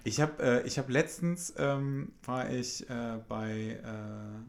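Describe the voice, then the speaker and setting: A man's voice: medium pitch, slow pace. A man in his late thirties telling a casual anecdote, rambling with frequent fillers. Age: 30s.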